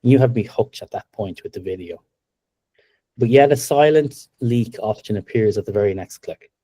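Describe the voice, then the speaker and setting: A middle-aged Irish man giving a business webinar presentation over a video call, upbeat and steady, with frequent ums. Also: on the low side, normal speed, breathy edge, no echo. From Ireland. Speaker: webinar presenter, company co-founder.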